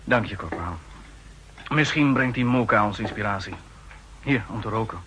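An actor in a 1960s radio drama playing an authoritative army general who speaks formally and decisively. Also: male voice, on the low side, expressive, sharp tone, a little hiss or hum. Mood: commanding, pondering. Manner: commanding, measured, formal.

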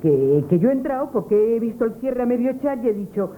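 A female actor as a devoted woman cast off by her man, in a radio drama. Her high voice is earnest and pleading yet proud. It comes through thin and tinny, with faint hiss. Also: animated, fast talker, natural voice. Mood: anxious, defensive. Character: spurned, proud, devoted woman, former sweetheart. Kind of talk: Spanish radio theatre acting, 1970s. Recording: thin, tinny, noisy old radio broadcast.

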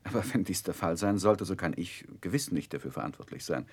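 An actor playing an earnest, reserved young gentleman who recounts his past in a 1960s German radio play, measured and grave. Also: deep voice, husky, no room sound. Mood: uneasy, defensive.

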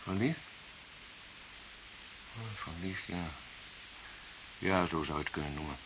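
A man's voice: sits low, slow pace. An actor playing a nervous, defensive murder suspect in a Dutch radio drama, hesitant and stammering as he repeats himself. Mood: wistful, awkward. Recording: hissy radio play recording.

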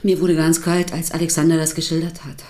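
Actress as a defensive, deliberate wife. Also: female voice, sits low, little pitch movement, dark tone, lightly acted. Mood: grave, uneasy. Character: defensive wife recounting her husband's violent confession. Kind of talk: radio drama dialogue.

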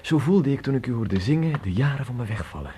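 An actor playing an inquisitive, courteous Spanish traveller of nearly forty in a radio drama, speaking formally and wordily. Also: male voice, medium pitch, expressive, quick pace, dark tone, close to an everyday voice. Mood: wistful, probing. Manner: formal, wordy, probing. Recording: noisy old radio play recording.

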